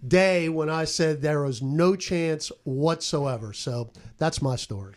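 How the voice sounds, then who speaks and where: A man's voice: a little high, slow pace. An American man, a sports broadcaster, telling stories on a podcast roundtable. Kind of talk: animated storytelling on a podcast roundtable.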